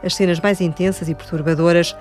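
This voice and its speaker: A woman radio reporter reading scripted narration in a measured, even way. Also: fairly low pitch, soft background music.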